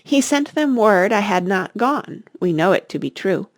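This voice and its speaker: A woman reading a classic children's story aloud in an expressive narrating style. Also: medium pitch, normal speed, no echo, studio quality. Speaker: audiobook reader.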